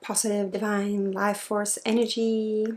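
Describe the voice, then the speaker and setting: A woman with a Swedish accent guides a spiritual energy healing in ASMR style, halting mid-sentence and often slipping into a breathy whisper. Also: mid-range voice, little pitch movement. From Sweden. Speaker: spiritual healer and ASMR host.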